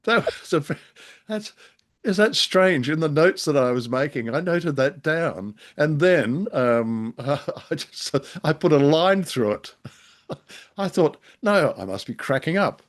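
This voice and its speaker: A man co-hosting a casual podcast discussion, speaking in a halting, hesitant way with wry, self-deprecating humour. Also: fairly high pitch, expressive. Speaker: podcast co-host and freelance writer. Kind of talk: casual conversational discussion of old radio drama.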